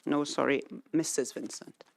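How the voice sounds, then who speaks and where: A man's voice: on the high side, steady tone, normal speed, gravelly, a little hiss or hum. A man chairing a council meeting as mayor, keeping his remarks short and procedural.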